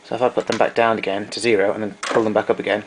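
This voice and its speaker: Low-pitched man demonstrating equipment. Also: little pitch movement, racing, gravelly, slight background noise, low-quality recording. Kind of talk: informal technical demonstration.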